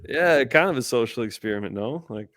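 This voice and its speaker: A man's voice: medium pitch, expressive, fairly quick, a bit bright. A man co-hosting a podcast, telling stories in a meandering way full of fillers. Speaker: podcast co-host. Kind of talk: casual storytelling chat.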